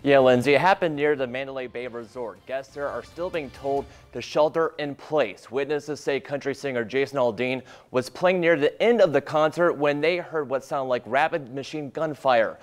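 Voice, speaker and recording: A male reporter gives a prepared live news report. His voice sits at a middle pitch. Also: moderate pace, hardly any pauses, soft background music.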